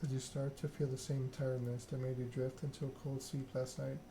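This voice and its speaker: A man softly narrating a story game in ASMR style, reading in a measured, deliberate way. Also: mid-range voice, level tone. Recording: clearly noisy background ambience.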